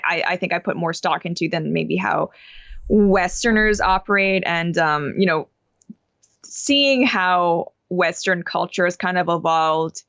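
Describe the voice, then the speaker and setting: Soft-pitched young woman, dry dull sound. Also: dry recording. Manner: thoughtful, frequent fillers and restarts. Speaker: interview guest. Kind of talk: casual conversational interview.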